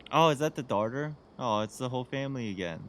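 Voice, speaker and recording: A man's voice: mid-range voice, animated, fast, slight background noise. A man giving casual, slangy playthrough commentary as a game streamer, with muttered offhand reactions.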